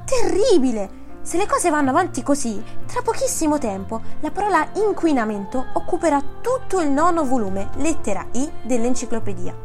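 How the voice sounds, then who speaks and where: A woman's voice: a little high, expressive, normal speed, soft background music, natural voice. A woman playing a fussy, indignant know-it-all cat.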